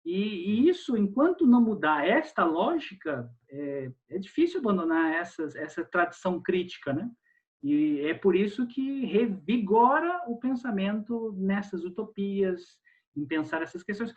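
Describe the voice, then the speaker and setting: A Brazilian man appearing as a guest professor, speaking in a measured way and often ending with tag questions. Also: high voice, animated, highs cut off. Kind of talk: casual discussion show.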